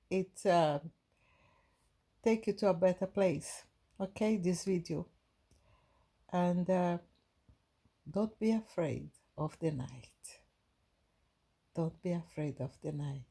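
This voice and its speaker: A woman from Brazil with a Brazilian Portuguese accent, giving a gentle, halting inspirational talk to her viewers with many restarts. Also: fairly low pitch, gravelly. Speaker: spiritual vlogger.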